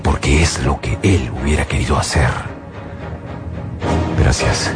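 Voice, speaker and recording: Haunted survivor dad telling his story in a radio play, super deep voice, grave, music behind. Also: steady tone, measured pace. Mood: solemn, bitter. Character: haunted male survivor of an invasion, husband and father. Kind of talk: radio drama first-person narration. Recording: loud music bed behind the voice.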